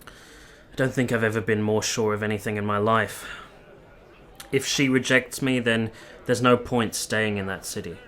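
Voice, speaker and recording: A man acts a sincere young military officer in a period radio drama. His voice sits low. He speaks in measured, literary phrases, tender and reflective, as if setting down a memoir. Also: fairly even intonation, fairly bright tone, close to an everyday voice. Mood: earnest, gentle. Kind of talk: radio play acting and literary narration.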